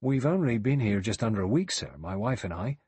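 A man's voice: fairly low pitch, very animated, a little fast, a little mellow, breathy edge, dry recording, exaggerated voice. A man voicing an earnest, flustered butler in an audiobook, servile and defensive. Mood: earnest, deferential. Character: flustered, earnest, deferential male butler protesting innocence. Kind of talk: audiobook character voice.

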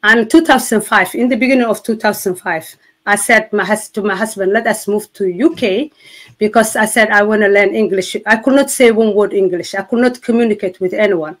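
A middle-aged woman from Somalia with a Somali accent, giving her personal testimony as a guest on a video call, earnest and halting with many fillers and restarts. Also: mid-range voice. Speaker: interview guest. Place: indoors in front of a city-skyline backdrop.

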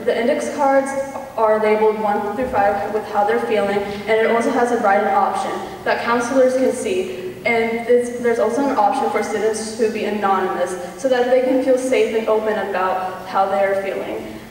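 A woman's voice: on the high side, fairly even intonation, moderate pace. A teenage female student giving a rehearsed presentation on stage in a large hall. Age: teens. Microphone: far camera. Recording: very echoey hall, distant, faint noise.

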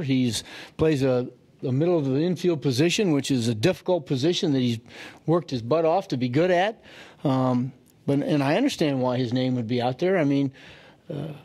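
Middle-aged man, team manager, halting press-room answers. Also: on the high side, dark tone. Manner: halting, restarts sentences often. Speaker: baseball manager. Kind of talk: post-game press conference. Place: press room with sponsor backdrop. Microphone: podium microphone.

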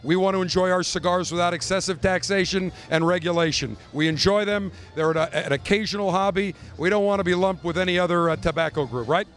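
A man's voice: high-pitched, fairly bright tone. A middle-aged man hosting a live walkthrough of a trade show, joking and bantering brashly with guests into a handheld mic in a large convention hall. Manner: brash, joking, fast-talking showman. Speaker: radio show host touring a trade show. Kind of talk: live roving interviews and walkthrough. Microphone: handheld interview mic.

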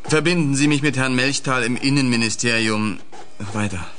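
An actor in a German radio play playing a commanding investigation chief, curt and authoritative. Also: male voice, mid-range voice, moderate pace, a bit bright, a little hiss or hum, close to an everyday voice.

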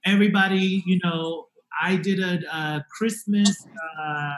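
A man in his forties from Puerto Rico hosting a casual interview over a video call, talking with an animated, expressive manner from his living room into a desk mic. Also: high voice, unhurried, poor audio. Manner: animated, gestures with hands while talking. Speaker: talk show host. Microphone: desk podcast mic on video call.

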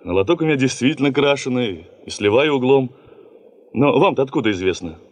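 Cheeky gold-panner played by a man, very animated pitch, medium register, casual, radio play acting. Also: a little fast, lightly acted. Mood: cheeky, puzzled. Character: cheeky gold-panner nicknamed Circus, former circus performer.

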